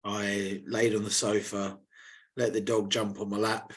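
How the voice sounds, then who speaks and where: Hoarse man, laddish banter. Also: fairly low pitch, level tone, measured pace. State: slightly hoarse. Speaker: podcast co-host. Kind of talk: casual chat.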